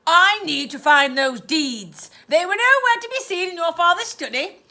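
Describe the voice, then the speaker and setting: Shrill storybook villain aunt, put on by a woman reader: loud, clipped, syrupy-sweet, bright edge. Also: animated, moderate pace, full character voice. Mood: theatrical menace. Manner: loud, clipped, sickly-sweet baby talk. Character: shrill scheming cruel older aunt.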